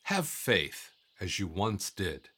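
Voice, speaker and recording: An actor playing a calm, mysterious guide in a religious audio drama. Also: male voice, deep voice, slow pace, breathy edge, heavily acted. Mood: serene. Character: mysterious serene male guide, calm, faithful.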